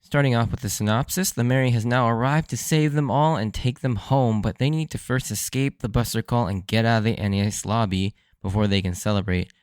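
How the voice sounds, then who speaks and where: A man hosting a solo podcast in a casual, chatty way, his voice a little hoarse and worn. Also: mid-range voice, a little fast, few pauses, darkish tone, no room sound, clean studio sound. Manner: conversational, with frequent fillers and light laughs. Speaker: podcast host. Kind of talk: solo podcast episode review.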